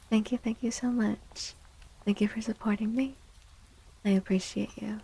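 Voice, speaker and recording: Soft spoken asmr streamer lady, mellow n slow, breathy, drifts into whispers, close mic. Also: medium pitch, low-quality recording. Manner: gentle, unhurried, often repeats phrases. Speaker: ASMR live streamer, host. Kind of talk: soft-spoken ASMR chat with live viewers. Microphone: close microphone.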